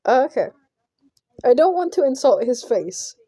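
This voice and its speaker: A woman's voice: high-pitched, sing-song, unhurried, mellow, dry recording. A woman reading a visual novel aloud as a casual playthrough, stumbling over and repeating words as she goes. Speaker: game player narrator.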